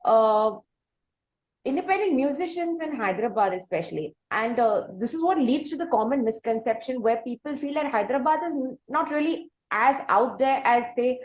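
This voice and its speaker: A woman's voice: high voice, expressive, moderate pace. A woman with an Indian accent hosting a conversational interview, asking long, hesitant, circling questions. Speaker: podcast host and interviewer. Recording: dull lo-fi audio.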